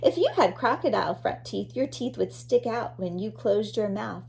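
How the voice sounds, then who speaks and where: A woman's voice: mid-range voice, normal speed, vocal fry, a little mellow, rough recording. A woman teacher reading a children's nonfiction book aloud expressively, addressing young listeners.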